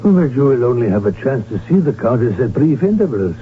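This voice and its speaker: An actor in a radio drama playing a cautious royal doctor and confidant, formal and precise in speech. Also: male voice, mid-range voice, sing-song, a little fast, slight background noise, close to an everyday voice. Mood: cautioning. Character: cautious, concerned male royal physician and confidant. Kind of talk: radio drama acting and in-character narration. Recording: dull vintage radio broadcast, faint music.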